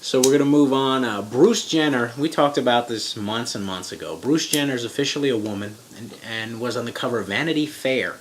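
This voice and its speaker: A man's voice: medium pitch, slight background noise. A man hosting a casual chat, moving through topics and asking his co-host questions. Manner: leads topics, quick reactions and questions.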